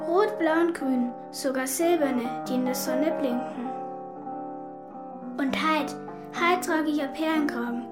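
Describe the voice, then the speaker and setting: A woman's voice: sits high, measured pace. A female performer playing a proud, playful little country girl in a radio drama, with a childlike singsong manner. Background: loud plucked guitar music.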